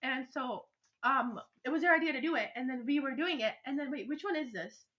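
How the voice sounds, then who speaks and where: A young teenage girl with a stuffy nose, chatting and telling stories casually as a podcast co-host in an excitable, rambling way. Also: sits high, brisk, a little hiss or hum, rough recording.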